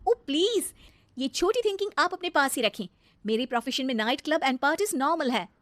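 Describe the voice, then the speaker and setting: A haughty young model wife acted by a woman in an animated moral story. Her voice is very high and dry, snappish and indignant, sprinkled with English phrases. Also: brisk, dry recording. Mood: dismissive. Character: haughty young fashion model daughter-in-law, spoiled and snappish. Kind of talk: animated storybook character acting.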